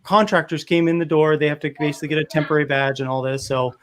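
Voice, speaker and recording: A man from Rhode Island hosts a relaxed livestream chat from home. His voice sits a little high for a man, and he tells stories in an animated, chatty way. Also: fast talker. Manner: chatty storyteller, animated when telling a joke. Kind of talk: casual chat.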